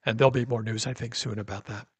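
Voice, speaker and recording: Male podcast guest, medium pitch, gracious and slightly hesitant, conversational, recorded very dry. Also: steady tone, quick pace, breathy edge, no echo. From New Jersey, United States. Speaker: podcast guest, YouTube commentator. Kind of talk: casual chat.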